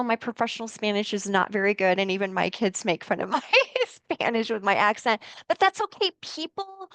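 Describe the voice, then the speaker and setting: A woman's voice: mid-range voice, fairly bright tone, no room sound. A woman therapist from the United States talking casually in an interview, rambling with many fillers and restarts. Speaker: licensed therapist guest.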